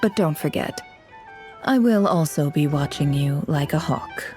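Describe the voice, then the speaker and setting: Game spymaster: composed, shrewd, soft dark tone, faint music behind. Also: female voice, low voice, animated. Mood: calm warning. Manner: measured, polite, knowing. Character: composed, shrewd woman spymaster, politely calculating. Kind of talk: video game cutscene acting.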